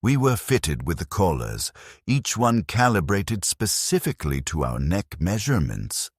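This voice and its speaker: A man narrating a dramatic story as a grim, embittered convict soldier, solemn and deliberate in his bleak delivery. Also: low-pitched, sing-song, breathy edge, no echo, clean studio sound, heavily acted.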